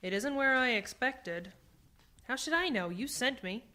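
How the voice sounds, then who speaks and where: A woman playing an earnest, bewildered exile in a science fiction radio drama, guarded and halting. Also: on the high side, lively intonation, bright, forceful tone. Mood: confused, defensive.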